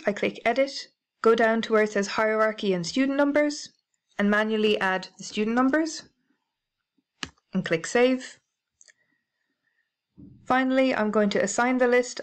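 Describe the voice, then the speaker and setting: A woman with a British accent narrating a library software tutorial, clear and methodical, spelling out codes letter by letter. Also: fairly high pitch, normal speed, long pauses. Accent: British English. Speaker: library staff trainer. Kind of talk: instructional screencast narration.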